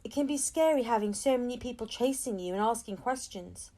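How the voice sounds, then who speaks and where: Woman as a caring, earnest storybook mum, high-voiced. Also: lively intonation, brisk, dark tone. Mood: concerned. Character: caring mother, protective and earnest.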